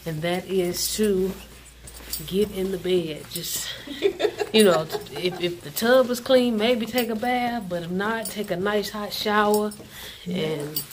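A young woman truck driver chatting casually to her vlog camera, animated and talkative. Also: mid-range voice, takes it slowly. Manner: chatty, animated, addresses viewers as y'all. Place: hotel and truck cab. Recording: background noise.

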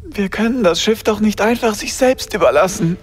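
A man playing a weary, grieving man who speaks slowly and downcast in an audio drama. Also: high voice, husky.

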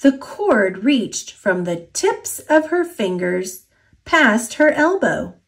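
A woman with an American accent reads a children's story aloud in an expressive, deliberate storytelling manner. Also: fairly high pitch.

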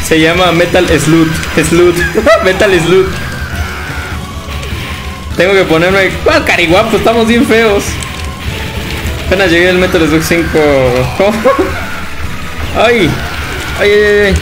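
Young Mexican man, Mexican Spanish accent, friendly streamer banter, slightly high voice, game music loud underneath. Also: slow pace, long pauses, rough recording. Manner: chatty, laughs often, calls viewers brother. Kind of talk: casual livestream chat reading viewer comments. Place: indoor room at home.

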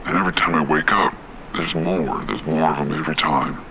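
An actor playing a disoriented, haunted man in a horror game monologue, speaking in a halting, brooding way with dreamy, fatalistic surrender. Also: fairly even intonation, highs cut off, background noise. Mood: confused, uneasy. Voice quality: gravelly, very deep. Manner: halting, hesitant, brooding delivery with trailing repetitions.

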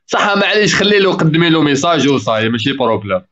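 A man's voice: on the high side, sing-song, fairly bright tone. A man, hosting a casual live call-in stream and guiding callers on their mics. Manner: fast, coaching callers on their mic. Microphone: video call. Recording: dull lo-fi online call audio.

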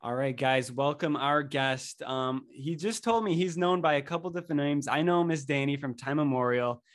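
A young man in his twenties hosting a podcast, chatting in an upbeat, eager way into a desk microphone in a small room. He says he sounds a little sick. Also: a little high. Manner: upbeat, fast chatty delivery. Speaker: podcast host. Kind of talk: casual interview chat. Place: small indoor room, plain wall. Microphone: desk microphone in frame.

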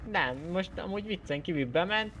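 A man's voice: high voice, animated, fairly bright tone. Man negotiating in a roleplay game, faint noise. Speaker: roleplay gamer playing police hostage negotiator. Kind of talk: casual game roleplay chat.

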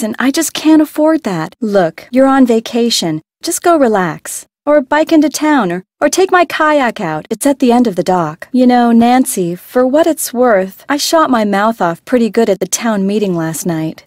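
An actress playing a frank, stubborn adult boat owner in a video game, talking in a matter-of-fact way. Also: female voice, fairly high pitch, darkish tone, dry recording, studio quality, natural voice. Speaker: game voice actor.

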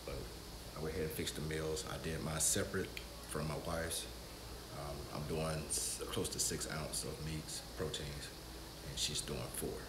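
A man in his fifties with a very deep voice talks casually straight to the camera, walking through his routine. The camera mic sits a few feet away in a home kitchen, so the sound is roomy and echoey. A steady background noise runs under his voice. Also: moderate pace. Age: 50s. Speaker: vlogger. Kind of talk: casual how-to talk.

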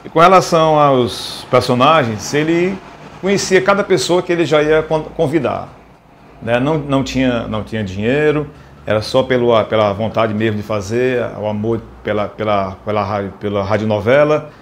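A middle-aged man from an interview shares recollections informally. He has a Northeastern Brazilian accent and an average-pitched male voice, picked up on a close lapel mic. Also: normal speed, a little hiss or hum.

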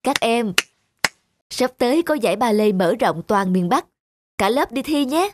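A woman's voice: a little high, animated, a little slow, no room sound, clean studio sound. A woman voicing a kind, encouraging young ballet teacher in a cartoon, speaking politely and explaining things. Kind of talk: cartoon dubbing.

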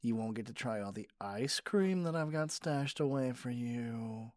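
A man acting in an ASMR roleplay as a gentle, doting caregiver, speaking softly in a soothing, coaxing manner. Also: mid-range voice, expressive, unhurried, no room sound, studio quality, lightly acted. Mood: playful searching. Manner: soft, soothing, playful coaxing. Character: gentle, doting male caregiver comforting a partner.

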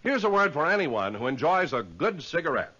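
Casting: American man, old-time radio pitchman, highish voice with animated swing. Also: brisk, background noise. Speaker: radio announcer. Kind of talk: 1950s radio commercial announcing. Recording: dull vintage radio recording.

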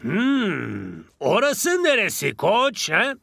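An actor playing a vain, cocky star footballer in a cartoon dub, drawling theatrically. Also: male voice, high voice, wildly swooping pitch, extremely slow, vocal fry, sharp tone, breathy edge, no room sound, clean studio sound, heavily acted. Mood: doubtful. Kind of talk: Turkish animated cartoon dub.

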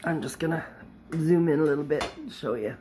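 Woman with a deep voice filming and chatting teasingly behind the camera, with noise from the kitchen. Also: brisk, warm tone. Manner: teasing, laughing often. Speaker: camera operator and co-host. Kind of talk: casual chat from behind the camera. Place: home kitchen, indoors. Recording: noisy home kitchen.

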